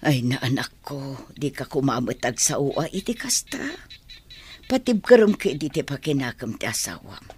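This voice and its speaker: A husky older Filipino mother. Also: a bass voice, animated, moderate pace, a little mellow, exaggerated voice. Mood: advising. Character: older mother, gently advising her grown child. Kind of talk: Filipino radio drama acting.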